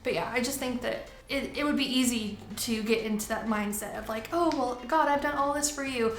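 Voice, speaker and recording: A woman's voice: on the high side, brisk, roomy sound. A young woman missionary chatting casually in a Q and A, with lots of "like" fillers and easy laughs. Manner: relaxed, many "like" fillers, laughs easily. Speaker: missionary in Taiwan. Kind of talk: casual Q and A chat.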